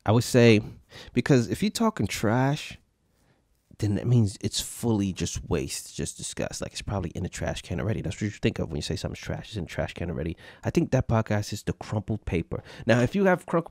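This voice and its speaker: A man's voice: lowish voice, expressive, brisk, darkish tone, dry recording, studio quality. An American man giving casual, comedic commentary in a blunt, mocking way, repeating himself as he riffs. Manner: mocking, blunt, repetitive riffing. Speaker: podcast host reviewing other podcasts.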